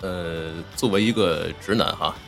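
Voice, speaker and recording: A man's voice: deep voice, measured pace, creaky, a bit bright. A man who works as a voice actor chatting casually as a guest, often hesitating and repeating his words. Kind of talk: casual panel chat. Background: background music.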